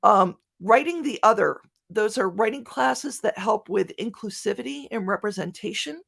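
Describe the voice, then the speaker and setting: A woman presents informally at an online conference in a medium-pitched voice with noticeable vocal fry. She is chatty and self-deprecating, slipping joking asides into her explanations. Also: a bit bright. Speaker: conference speaker, writer and podcaster. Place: online video conference talk.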